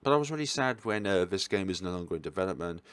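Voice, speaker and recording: A man's voice: low-pitched, animated, quick pace, fairly bright tone, clear, resonant tone, no echo. A man giving casual tier-list commentary as a video host, talking in an informal, rambling way and correcting himself as he goes. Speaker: video host reviewing games.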